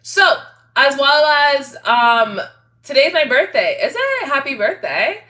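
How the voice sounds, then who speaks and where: A woman hosting a casual livestream, chatting to her viewers in an animated, giggly, slangy way. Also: high voice, slow. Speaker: livestream host reading and answering viewer chat.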